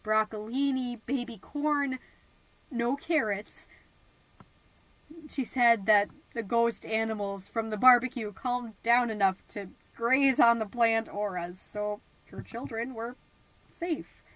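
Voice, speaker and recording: A woman playing a chatty, high-strung suburban mom podcaster, telling stories conversationally with dramatic, confiding asides. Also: slow pace, a bit bright, no room sound, low-quality recording. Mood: hushed, awed. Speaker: podcast host character. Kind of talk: scripted comedic monologue storytelling, conversational.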